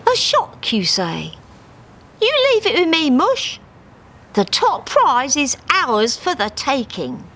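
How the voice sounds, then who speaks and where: A woman's voice: high voice, huge pitch swings, takes it slowly, fairly bright tone, pitched above the performer's own voice, heavily acted. A woman voicing a bossy, scheming female duck dance teacher with a brash, colloquial manner, in a storybook reading. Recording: live theatre recording with background noise.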